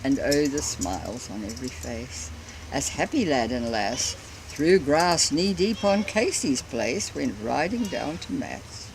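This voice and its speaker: A woman's voice: a bass voice, sing-song, unhurried, a little hiss or hum, low-quality recording. An older Australian woman giving a theatrical, lilting recitation of a bush ballad to her family in an amateur recording. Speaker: family matriarch reciting a poem. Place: family Christmas gathering.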